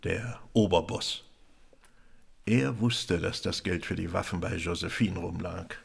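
An actor playing an animated police commissioner who explains a case in colloquial words, in radio crime drama dialogue. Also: male voice, sits low, a little slow, creaky, husky, heavily acted. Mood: explaining, amused.

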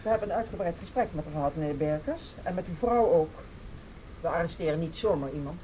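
An actress in a radio play playing a composed, firm police officer with a matter-of-fact manner. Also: female voice, on the low side, animated, fast, mellow, a little hiss or hum, close to an everyday voice, poor audio. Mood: calm, firm. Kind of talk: naturalistic radio play acting.

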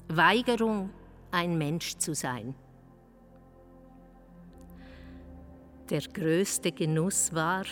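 A woman's low voice unfolds an intimate radio monologue at a slow, halting pace. She is introspective and intense, as if confessing. Soft music sits behind her. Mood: intense, confessional. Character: intense, confessional woman recalling fasting and youth. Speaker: radio drama narrator. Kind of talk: reflective first-person radio monologue.

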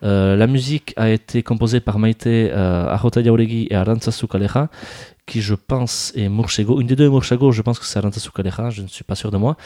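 Low, mellow man chatting with many fillers. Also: no room sound, clean studio sound. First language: Basque speaker. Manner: hesitant, many fillers and restarts. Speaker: podcast host. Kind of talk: casual film discussion.

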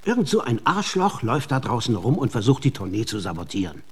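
An actor playing an evasive, persuasive touring showman of the Wild West in a German radio play, husky and slick. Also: mid-range voice, big pitch swings, quick pace. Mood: exasperated, evasive. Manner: exasperated, wheedling, slick dealmaker.

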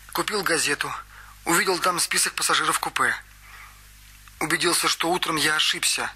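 An eager young witness. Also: male voice, fairly high pitch, pushed and bright, husky, full character voice. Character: eager young male witness recounting events. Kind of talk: radio play acting. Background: music.

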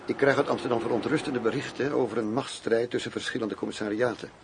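An actor in a radio drama playing a wry, world-weary undercover agent who poses as a gangster boss. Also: male voice, mid-range voice, steady tone, quick pace, slight background noise, lightly acted. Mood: measured, concerned.

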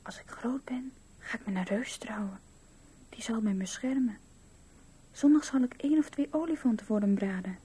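An actress voicing a dreamy, playful little girl telling her fantasies in a radio play, with a breathy voice. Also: a little high, normal speed, mellow. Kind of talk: radio drama, storytelling and chanting rhyme.

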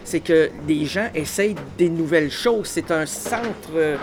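A man's voice: fairly high pitch, unhurried, background noise. A man in his fifties with a Quebec French accent, hosting a radio interview and asking eager questions that stumble over repeated words. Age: 50s. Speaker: radio host.

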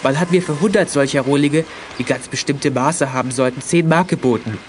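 A man's voice: medium pitch, fairly quick, a little hiss or hum, close to an everyday voice. A young man acting a shy, earnest teenage boy in a children's audio drama, speaking hesitantly. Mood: defensive. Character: shy, flustered teenage boy confessing and pleading innocence.

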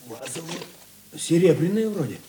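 An actor playing a good-natured, thrifty police operative who grumbles and banters in a radio play. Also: male voice, on the high side, big pitch swings, measured pace, mellow, far from the mic. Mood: matter-of-fact. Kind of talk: Russian radio drama acting. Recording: echoey, noisy with clicks.

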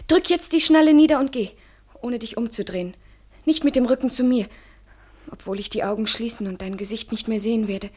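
Desperate submissive lover, highish breathy voice, anguished, intense poetic radio drama. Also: female voice, brisk, a little mellow.

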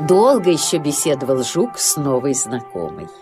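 A woman's voice: extremely low pitch, big pitch swings, normal speed, over loud music. A woman narrating a children's storybook tale expressively.

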